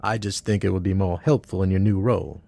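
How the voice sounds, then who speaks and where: An actor playing a composed, guarded senior intelligence boss in an audio drama, speaking in a measured, formal, authoritative way. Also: male voice, sits low, steady tone, vocal fry, warm tone, no room sound.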